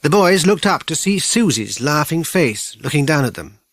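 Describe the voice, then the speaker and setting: A man with a British accent reading a children's audiobook in measured, clearly phrased storytelling. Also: fairly high pitch, sing-song, dry recording. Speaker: audiobook narrator. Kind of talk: scripted children's story narration.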